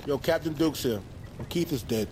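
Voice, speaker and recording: An actor playing a stern, sceptical middle-aged police captain with a folksy manner in an audio drama. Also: male voice, fairly high pitch, moderate pace, background noise, close to an everyday voice. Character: gruffly sceptical male police captain, older authority figure.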